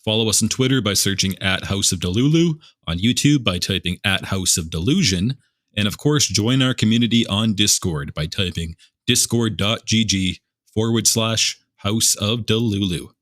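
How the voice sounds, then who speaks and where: A man's voice: lowish voice, moderate pace, a bit bright, no echo. A man hosting a fan podcast, opening with an upbeat scripted welcome and dry jokes. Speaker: podcast host. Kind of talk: scripted podcast intro and casual chat.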